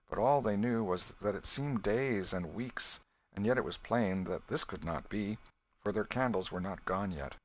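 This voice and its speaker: A man narrating a classic novel as an audiobook in a measured storytelling manner. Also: fairly low pitch. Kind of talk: scripted literary narration.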